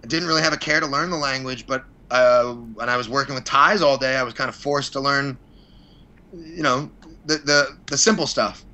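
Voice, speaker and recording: A man with a bright, forceful voice talks as a guest in a casual interview. He rambles freely, states his opinions bluntly and lets mild swearing slip in. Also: medium pitch, normal speed, low-quality recording. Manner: blunt, opinionated, mildly profane. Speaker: podcast guest, fight promoter.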